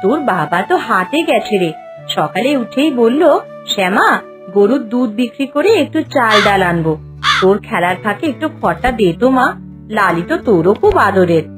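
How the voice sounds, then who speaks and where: A woman voicing a loving, gentle village mother in a cartoon, doting and coaxing as she speaks. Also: mid-range voice, expressive, normal speed, over music, lightly acted. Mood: tender, coaxing. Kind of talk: cartoon voice acting.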